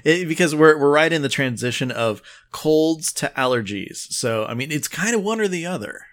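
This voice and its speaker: A man co-hosting a casual podcast, easygoing and agreeable, often pausing and restarting his sentences. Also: a little high, animated, fairly bright tone. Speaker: podcast co-host.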